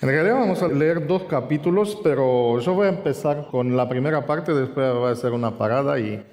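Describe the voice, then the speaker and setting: A man from Romania, Romanian by birth, preaching a sermon in Spanish with an Argentine accent, reflective and pausing with fillers, lifting his voice when he reads scripture. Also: medium pitch, a little mellow. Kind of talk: sermon with Bible reading. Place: church hall. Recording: long reverb in a large hall.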